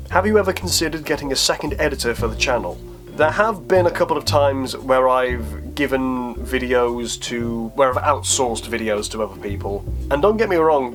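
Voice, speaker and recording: A man talking casually in a Q&A vlog, informal and self-deprecating, thinking aloud as he answers. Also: mid-range voice. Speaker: YouTube creator answering viewer questions.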